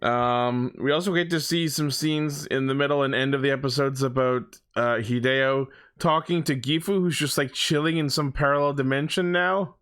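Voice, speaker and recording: A man's voice: mid-range voice, normal speed, few pauses, fairly bright tone, clear, resonant tone. A man co-hosting a casual pop-culture podcast in a sweary, opinionated, exasperated way. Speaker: podcast co-host.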